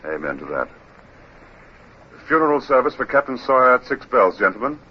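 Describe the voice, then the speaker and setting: An actor playing a grave naval captain in a 1950s radio drama, formal and deliberate in speech. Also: male voice, mid-range voice, big pitch swings, breathy edge. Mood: solemn, official. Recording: muffled, hissy vintage radio recording.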